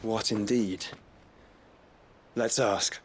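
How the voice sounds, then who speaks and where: A man's voice: lowish voice, fairly even intonation, very slow, slight background noise. An actor playing an inquisitive, composed young nobleman, polite and well-spoken, in a video game cutscene. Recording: loud music behind the voice.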